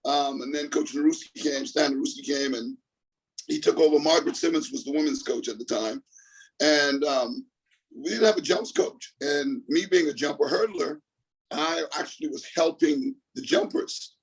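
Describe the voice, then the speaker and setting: A man's voice: a little high, normal speed, slightly rough, poor audio. A middle-aged man from the Bahamas, a track coach telling a long story in a casual interview over his phone, rambling, repeating words and often asking "you know what I mean". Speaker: track and field coach, guest. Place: remote call on his phone.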